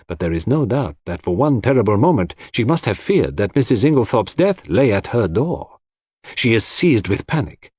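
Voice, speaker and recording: Man playing a shrewd, theatrical detective, animated delivery. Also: mid-range voice, no room sound, clean studio sound. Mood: explaining calmly. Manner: deliberate, dramatic, rhetorical questions. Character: shrewd theatrical male detective, lecturing his suspects methodically. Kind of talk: audiobook character dialogue.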